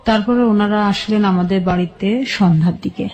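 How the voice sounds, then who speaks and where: A woman with a Bangladeshi accent telling her personal life story as a radio guest, recalling it haltingly in short phrases. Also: mid-range voice, a little mellow, muffled recording.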